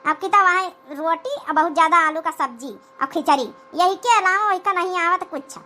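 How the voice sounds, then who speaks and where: A woman voicing a scheming, flattering older village mother-in-law in a comedy cartoon, with an Awadhi accent and wheedling, rustic chatter. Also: very high voice, moderate pace. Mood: gossiping.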